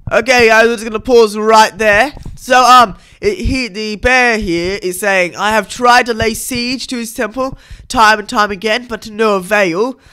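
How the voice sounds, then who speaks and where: A man hosting a gaming video, giving casual, halting commentary over cutscenes. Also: high-pitched, steady tone, pushed and bright, clear, resonant tone.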